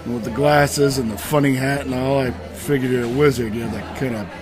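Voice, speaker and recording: An actor playing a blunt, sarcastic barbarian adventurer with a casual manner in a comic fantasy audio drama. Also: male voice, medium pitch, fairly even intonation, a little fast, close to an everyday voice. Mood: sizing up. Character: gruff but civilized, wisecracking male barbarian adventurer. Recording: music playing behind the voice.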